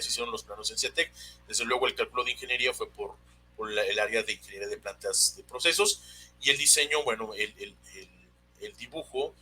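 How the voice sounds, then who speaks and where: A Mexican man gives an unscripted technical lesson in a mid-pitched, very sharp and forceful voice. He speaks haltingly, often searching for the next word. The webinar audio carries a faint noisy hum behind him. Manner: hesitant, halting, searching for words. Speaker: technical instructor presenting a webinar. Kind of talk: unscripted technical explanation of engineering drawings.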